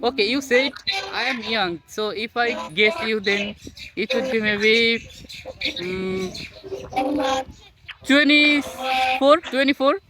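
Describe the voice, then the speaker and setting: A man's voice: extremely high pitch, takes it slowly, long pauses, noisy background. Hesitant young Bangladeshi man. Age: 20s. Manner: hesitant, stumbling, laughs often. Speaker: vlogger practicing English. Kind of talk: casual video chat.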